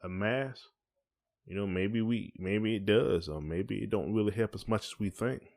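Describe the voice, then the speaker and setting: A man's voice: fairly low pitch, normal speed, a little mellow. A man co-hosting a podcast in casual conversation, with a folksy, colloquial way of talking. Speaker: podcast co-host.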